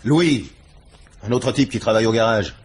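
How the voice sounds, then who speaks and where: An actor playing a cheeky, defiant young crook, sulky and protesting, in a French radio crime drama. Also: male voice, fairly low pitch, animated, moderate pace, lightly acted. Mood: sulky, evasive. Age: young adult. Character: cheeky, defiant young male suspect, indignant petty crook.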